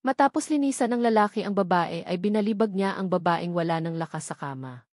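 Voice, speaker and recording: A woman's voice: on the low side, fairly quick, a little mellow, dry recording, clean studio sound. A woman reading scripted fiction aloud as an audiobook narrator.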